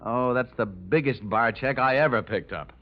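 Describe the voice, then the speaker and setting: An actor playing a wry, glib, tough private investigator who flirts and wisecracks, in the style of 1950s radio detective drama. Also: male voice, medium pitch, normal speed, lightly acted. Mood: self-mocking. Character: wisecracking, flirtatious male hard-boiled investigator narrating his case. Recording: muffled vintage radio recording with music.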